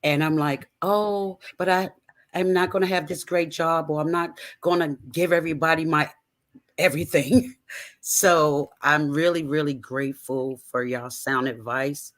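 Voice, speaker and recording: A man's voice: on the high side, fairly even intonation, a little slow. A man sharing earnestly with the group, hesitant and restarting as he speaks. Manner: earnest, hesitant, laughing softly.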